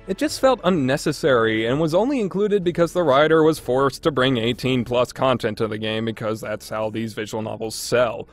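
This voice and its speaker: A man's voice: mid-range voice, moderate pace, hardly any pauses, soft background music. A man delivering a scripted review narration in an opinionated, emphatic way. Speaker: reviewer, list host.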